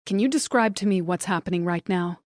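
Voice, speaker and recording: A woman voicing a calm emergency dispatcher who gives steady instructions. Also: on the low side, a little fast, no room sound, studio quality, natural voice. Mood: calm, firm.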